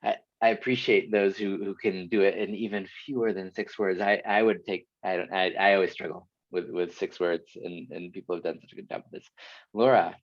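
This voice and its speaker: Male host, deep voice, friendly facilitator, lo-fi call audio. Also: steady tone, no room sound. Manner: friendly, apologetic, quick asides. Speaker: host of an online workshop. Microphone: video call.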